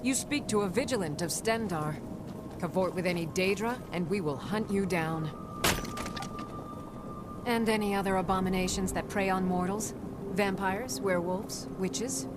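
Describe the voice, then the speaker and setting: An actress playing a stern, zealous leader of a holy monster-hunting order in a fantasy video game, severe and formal. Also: female voice, mid-range voice, measured pace, pauses often, lightly acted. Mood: stern, righteous. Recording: game capture with clear background noise.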